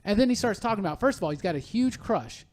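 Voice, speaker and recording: A man hosting a casual podcast chat, telling stories in an animated, quote-filled way. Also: sits high, quick pace. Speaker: podcast host.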